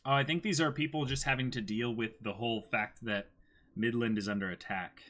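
A man's voice: medium pitch, steady tone, a little fast, a bit bright. A man in his twenties co-hosting a livestream, chatting casually and playfully, with exclamations as he reacts and reads out comments. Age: 20s. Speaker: co-host of a livestream. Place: small indoor room, seated before a backdrop.

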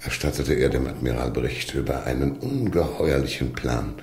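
Very deep, pompous Russian police minister. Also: male voice, moderate pace, a little mellow, echoey room. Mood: silky, courtly. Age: older. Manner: pompous, over-polite, formal. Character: suave, silkily menacing older male Russian minister of police. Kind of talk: radio drama acting.

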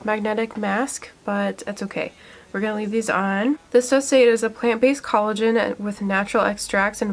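A woman's voice: mid-range voice, vocal fry. Congested young woman chatting about skincare. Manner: chatty, filler-heavy, eager. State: congested from a cold. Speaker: beauty vlogger. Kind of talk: casual product review. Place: small room indoors.

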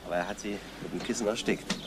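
An actor playing an eager, inquisitive police trainee theorising in a German crime radio play. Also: male voice, lowish voice, quick pace, noisy background, close to an everyday voice. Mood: eager, puzzled.

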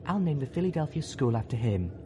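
A man's voice: medium pitch, very animated, fast talker, dark tone, a little hiss or hum, pitched above the performer's own voice, natural voice. Man voicing a poised, ladylike unicorn mare. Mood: resolved. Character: poised, compassionate, determined female unicorn.

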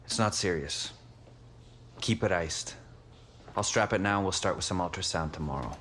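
Very deep, calm team physio. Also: male voice, moderate pace, a little hiss or hum. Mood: calm, reassuring.

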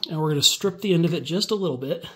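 A man with a slightly high voice talks through a workbench build in a relaxed, step-by-step way. He explains each move casually as he works with his hands, recorded indoors on a phone above the bench. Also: racing. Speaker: DIY tutorial maker.

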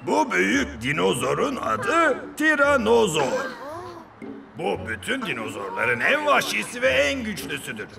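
An actor playing an enthusiastic, declaiming elderly grandfather and dinosaur expert in a cartoon dub, with a creaky voice. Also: high-pitched, huge pitch swings, measured pace, over music, exaggerated voice. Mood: grand, proud.

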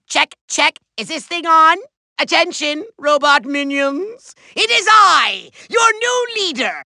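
An actor playing a small, pompous, manic evil overlord in a video game, declaiming grandly in a nasal, gravelly voice. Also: male voice, very high voice, sharp tone, no echo, studio quality, full character voice. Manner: grandiose, theatrical, drawn-out declaiming. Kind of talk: video game villain voice acting.

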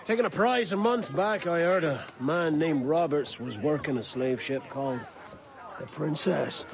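An actor playing a weary yet boisterous pirate captain in a video game, gravelly and theatrical, swinging between low talk and roaring. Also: male voice, a little slow, noisy background. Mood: confiding. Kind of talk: video game cutscene acting. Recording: music behind the voice.

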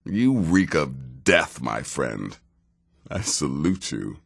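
An actor playing a sardonic middle-aged desert assassin in a fantasy video game, with a very deep, gravelly voice and a dry, measured, theatrical delivery. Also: male voice, big pitch swings, exaggerated voice. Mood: solemn respect.

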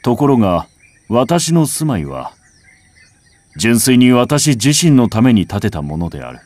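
A man reciting a literary first-person text as a scripted narration, in a measured, contemplative way with dramatic phrasing. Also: mid-range voice, big pitch swings, darkish tone, no room sound. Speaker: audiobook reader. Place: home recording.

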